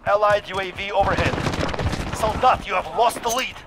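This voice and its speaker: A man's voice: sits high, moderate pace, noisy background, natural voice. A man voicing a barking military radio commander. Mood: commanding. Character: gruff adult male military radio commander. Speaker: in-game announcer. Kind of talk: game announcer callouts. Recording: game audio under loud gunfire.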